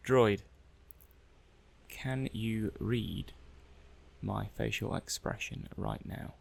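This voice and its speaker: A man's voice: on the low side, very slow, gravelly, slight background noise, exaggerated voice. A man playing a mild, methodical robot-testing technician in an ASMR roleplay, muttering hesitantly and matter-of-factly to himself. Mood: questioning. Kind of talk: soft-paced ASMR roleplay monologue.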